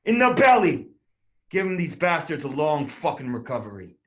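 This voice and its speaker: An actor playing a vulgar, brutal riot police officer who barks orders and taunts. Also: male voice, on the high side, animated, brisk, lightly acted. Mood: barking.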